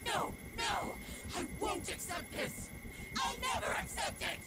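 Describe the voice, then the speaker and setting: Furious mocking shadow girl, anime drama, theatrical, really animated. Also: a little high, measured pace, very echoey, long reverb tail, far from the mic, loud music behind the voice. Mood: furious, despairing. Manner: theatrical, shouting, then cold and mocking. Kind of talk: dramatic anime-style voice acting.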